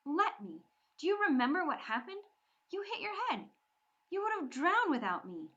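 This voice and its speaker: Woman voicing a defiant Irish girl, storybook reading, raised and sharp, scornful, slightly muffled. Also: mid-range voice, takes it slowly. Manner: scornful, loud outbursts.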